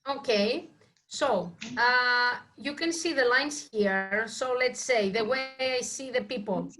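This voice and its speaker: A woman's voice: a little high, slow, sharp tone. A woman leading a poetry reading and group discussion. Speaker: session leader reading a poem.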